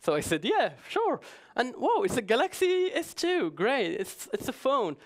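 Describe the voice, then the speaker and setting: A man's voice: very high voice, huge pitch swings, normal speed. A man giving a conference talk in a chatty, joking way, often hesitating and restarting. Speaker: conference speaker.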